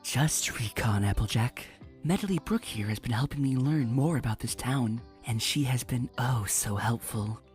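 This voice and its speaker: A woman voicing a cool, domineering warrior mare in a cartoon comic dub, deadpan and dryly menacing. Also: rumbling low, moderate pace, faint music behind. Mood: dry, sly.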